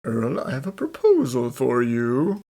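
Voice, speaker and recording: A man's voice: medium pitch, wildly swooping pitch, unhurried, dark tone. A man voicing an accusing detective in a fan dub, theatrical and dramatic.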